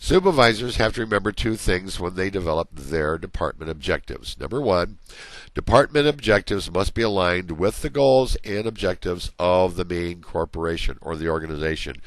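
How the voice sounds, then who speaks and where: A man's voice: deep voice, expressive, moderate pace, no room sound. A man giving a recorded college management lecture over slides, conversational and full of everyday examples, while he is losing his voice.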